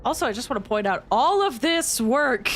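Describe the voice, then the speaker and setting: Casting: woman streamer, slightly high register, chatting casually over game music. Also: lively intonation, moderate pace. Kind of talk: casual live commentary.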